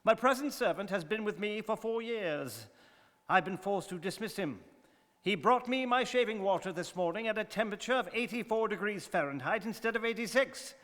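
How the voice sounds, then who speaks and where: Exacting, high-pitched English gentleman played by a man. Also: lively intonation, moderate pace. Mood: imperious, exacting. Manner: precise, formal, imperious. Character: exacting, unflappable English gentleman of rigid habits. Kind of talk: stage radio drama acting. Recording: reverberant hall.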